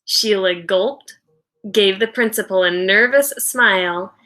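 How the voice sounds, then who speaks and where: A woman with a bright, forward voice reads a children's story aloud like a teacher. Her delivery is slow and deliberate, with expressive, dramatic storytelling. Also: mid-range voice. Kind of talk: expressive read-aloud narration.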